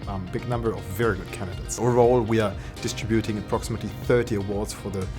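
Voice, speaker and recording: A middle-aged man with a lowish voice explains the work of a prize committee in an interview. He talks in a measured, slightly halting way, searching for words at times. Plucked guitar music plays clearly under his voice. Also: fairly even intonation.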